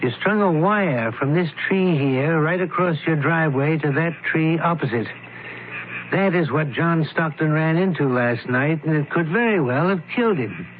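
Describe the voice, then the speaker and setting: Casting a man as probing criminologist sleuth, lighter-pitched male voice, authoritative, thin noisy radio-play recording. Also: animated, normal speed, lightly acted. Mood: accusing. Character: shrewd, methodical male criminologist detective. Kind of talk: 1970s radio drama acting. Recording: noisy, narrowband old radio broadcast.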